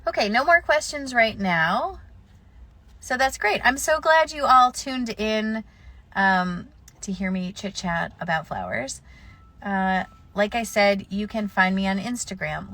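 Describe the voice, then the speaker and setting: A woman's voice: medium pitch, fairly bright tone. A woman florist with a slight cold answering viewer questions in a live stream, offering practical advice in a conversational way with plenty of fillers.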